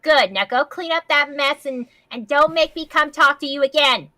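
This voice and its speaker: Woman doing a stern, forceful pony authority. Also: high-pitched, normal speed, dry recording, pitched above the performer's own voice. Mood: scolding. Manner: forceful, admonishing. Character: stern, scolding pony authority handing out punishment.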